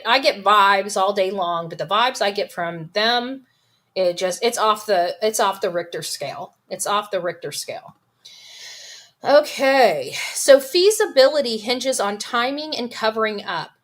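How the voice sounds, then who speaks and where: A woman speaks as the host of a casual true crime commentary. Her pitch sits in a middle range for a woman. She is opinionated and emphatic, moving between reading aloud and reacting, and she repeats phrases to stress them.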